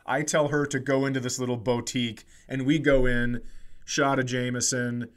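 A middle-aged American man with a mid-pitched voice tells comic stories in a loose, conversational way. He acts out other people's lines as a podcast co-host would. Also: level tone, moderate pace. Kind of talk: casual comic storytelling.

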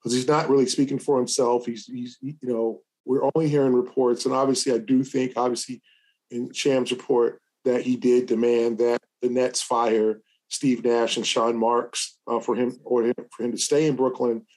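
A man's voice: medium pitch, level tone, moderate pace, darkish tone, rough recording. A man co-hosting a sports podcast, giving casual commentary and thinking aloud as he talks, often restarting and hedging. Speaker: podcast co-host.

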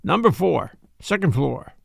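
A man's voice: a little high, big pitch swings, unhurried, vocal fry, fairly bright tone, breathy edge, no echo, studio quality, exaggerated voice. A man voicing a sour, suspicious building porter in an audiobook story, curt and grunting, with few words. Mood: indifferent.

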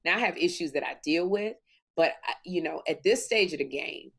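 A woman in her fifties hosting a talk show, telling stories casually and lively on a remote video call. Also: lowish voice, a little fast, creaky, breathy edge. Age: 50s.

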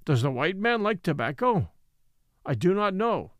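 A man's voice: high-pitched, very animated, moderate pace, a bit bright, no echo, studio quality. A man voicing an old Native man in a story reading, resigned and scornful, speaking simple broken English with rhetorical questions. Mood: puzzled scorn. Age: older.

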